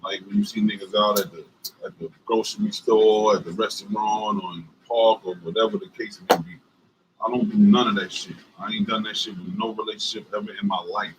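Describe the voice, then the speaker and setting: A man with a deep voice and a Southern US accent, co-hosting a couples livestream and talking bluntly with lots of swearing and fillers. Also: steady tone, brisk, background noise. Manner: profane and emphatic, many fillers. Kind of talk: casual livestream chat.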